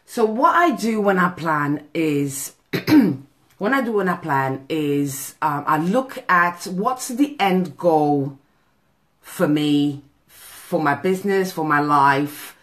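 A woman's voice: sits low, lively intonation, takes it slowly, a bit bright. A woman, coaching live over a phone camera in a small office, chatty, with many ums and "okay?" tags. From Portugal. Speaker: business coach. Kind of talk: live video talk to a group. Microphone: phone camera at arm's length.

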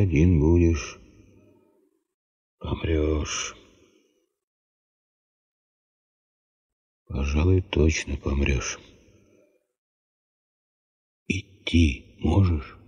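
An old tundra grandfather in a 1970s radio play. He is husky and slow, musing kindly in halting folk wisdom. The recording is slightly muffled. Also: very deep voice, mellow, exaggerated voice. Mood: grave, appraising. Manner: halting, folksy, philosophical musing. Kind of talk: Soviet radio drama acting.

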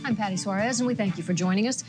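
A woman's voice: medium pitch, rapid-fire, music in the background. A woman anchoring a television newscast with scripted news delivery.

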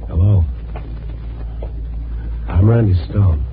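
A man's voice: sits low, takes it slowly, noisy background. Wry radio newspaperman, muffled sound. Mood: gentle, concerned. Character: wry, sympathetic male night-beat newspaper reporter. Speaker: lead and narrator. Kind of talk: 1950s radio drama narration. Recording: muffled 1950s radio recording with music.

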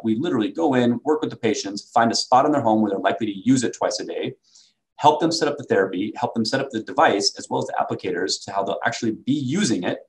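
A man's voice: on the low side, low-quality recording. A man presenting for a medical device company in a sales talk over slides, recorded on a video call indoors.

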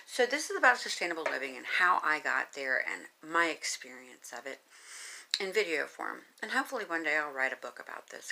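An older woman talks into a phone held at arm's length in a small bedroom, loosely recounting her past with plenty of ums and you-knows. Her voice sits low yet carries a bright, forward edge. She speaks casually and gestures as she goes. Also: low-quality recording. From United States. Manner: rambling, many ums and you-knows, animated hand gestures. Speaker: vlogger telling her life story. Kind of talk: casual storytelling to camera. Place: small carpeted bedroom indoors. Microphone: phone camera at arm's length.

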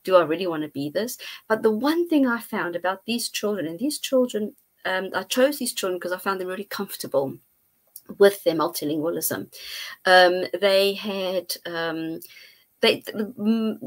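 A woman's voice: mid-range voice, expressive, moderate pace. Middle-aged woman on a video call from her home office, explaining carefully, lots of ums. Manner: thoughtful, explanatory, hesitant fillers. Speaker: guest researcher. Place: home study with bookshelves. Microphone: earphone mic on video call.

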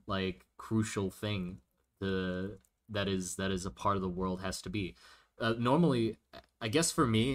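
A man's voice: on the low side, fairly bright tone. A man chatting casually on a livestream while he draws, thinking aloud and answering viewer questions with many restarts. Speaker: streamer drawing a fantasy world.

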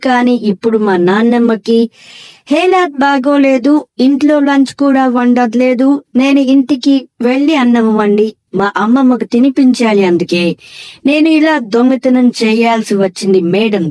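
Woman as a sad, high-voiced pleading schoolboy. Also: darkish tone, no echo, lightly acted. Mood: remorseful pleading. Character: sad, apologetic orphan schoolboy confessing.

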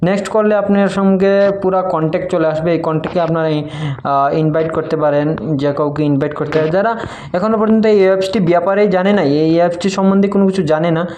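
Higher-voiced man giving a noisy app tutorial. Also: steady tone, fairly quick, darkish tone. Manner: repetitive, explanatory, mixing in English tech terms. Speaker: tech tutorial presenter. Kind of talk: informal step-by-step app tutorial. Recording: noisy home-made recording with clicks.

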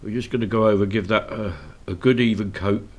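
Deep-voiced man with a stuffy cold. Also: a little fast. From Scotland. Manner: relaxed, hesitant, many ums and uhs. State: slightly congested from a cold. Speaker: miniature painting tutor. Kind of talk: casual hobby tutorial narration. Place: indoor hobby desk.

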